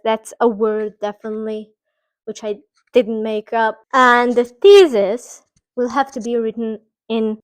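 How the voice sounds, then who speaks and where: Young woman rambling to camera, bit high voice, dry close sound. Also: dry recording. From Bosnia. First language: Bosnian. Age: 20s. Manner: chatty, self-deprecating, restarts and asides. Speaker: vlogger. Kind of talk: casual talking-to-camera vlog.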